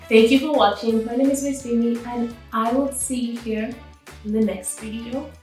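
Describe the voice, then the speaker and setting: A woman reading a story aloud to children as host, expressive and enthusiastic, with chatty asides and drawn-out emphasis. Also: a little high. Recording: very echoey room, distant mic, music behind.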